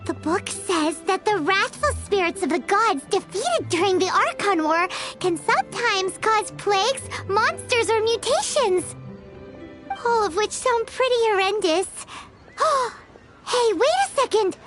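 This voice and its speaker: A woman's voice: expressive, a little slow, exaggerated voice. A woman voicing a tiny, curious fairy companion in a video game, who talks about herself in the third person. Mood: thoughtful. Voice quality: high, bright and childlike. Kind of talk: game dialogue. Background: game music.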